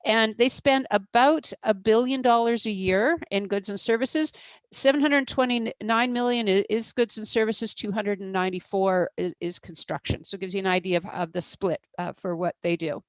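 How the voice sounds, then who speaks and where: A woman's voice: medium pitch, fairly even intonation, moderate pace, no echo. Woman presenting a webinar, dry close sound. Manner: explanatory, many fillers and restarts. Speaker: procurement expert presenter.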